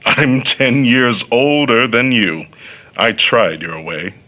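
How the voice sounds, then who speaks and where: An actor playing a confident, domineering older suitor in a scripted audio drama. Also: male voice, medium pitch, animated, slow pace, sharp tone. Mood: patronizing, persuasive.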